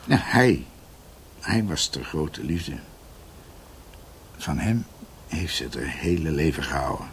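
A deep-voiced older doctor reminisces in a radio play. He speaks slowly and haltingly, with emphatic, animated turns of pitch and thoughtful pauses. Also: a little hiss or hum, heavily acted. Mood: reflective. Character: older doctor recounting family history to his niece. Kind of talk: radio drama acting, conversational storytelling.

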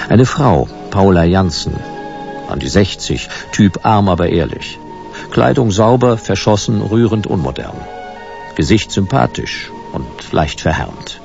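A wry private detective in a radio crime play. The voice is deep and creaky, dryly ironic in short clipped phrases, with lively melody over a slightly muffled recording. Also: male voice, heavily acted. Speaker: detective and narrator. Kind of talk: radio play, first-person noir narration.

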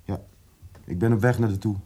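An actor playing an educated, indignant South African radical in a radio drama, articulate and emphatic, repeating words for weight. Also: male voice, on the low side, steady tone, fairly quick, dark tone, breathy edge. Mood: guarded.

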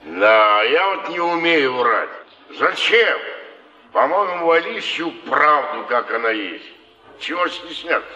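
An actor playing a blunt, sardonic cynic who scoffs, in a stage drama. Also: male voice, a little high, sing-song, slow pace, bright, forceful tone, exaggerated voice. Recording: echoey theatre, distant, dull archive sound.

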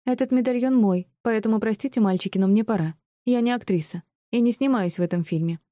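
Casting: female reader as feisty, confused young heroine, raised pitch, exclamatory, dry close audiobook sound. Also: dark tone, no room sound, lightly acted. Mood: wary, defiant. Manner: exclamatory, quick to snap. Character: indignant, bewildered young woman lost in strange town. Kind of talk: audiobook character acting.

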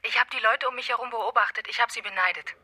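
A woman's voice: fairly high pitch, fast, bright, forceful tone, husky, heavily acted. An actress playing a hunted, stubborn woman in a German radio drama, anguished and fragile. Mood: wistful, subdued.

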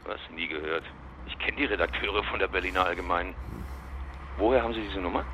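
An actor playing an irritated, suspicious politician taking a phone call in a radio crime drama, curt and demanding. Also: male voice, sits low, fairly quick, sharp tone, a little hiss or hum. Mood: indignant.

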